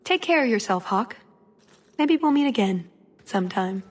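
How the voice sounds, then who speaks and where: A woman's voice: fairly high pitch, lively intonation, normal speed. A woman playing an earnest, wry young elf agent in a dramatic video game scene. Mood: wistful. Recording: music behind the voice.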